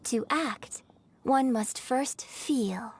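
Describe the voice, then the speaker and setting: An actress playing a graceful young opera singer in a game, speaking with refined, gentle confidence. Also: female voice, a little high, drawn-out words, slightly rough, exaggerated voice. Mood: reflective. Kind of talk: game voice acting.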